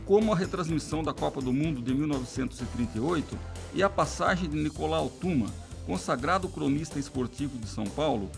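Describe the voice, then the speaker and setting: A gravelly edge runs through this Brazilian man's fairly high voice. He reads scripted narration with faint music underneath. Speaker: documentary narrator.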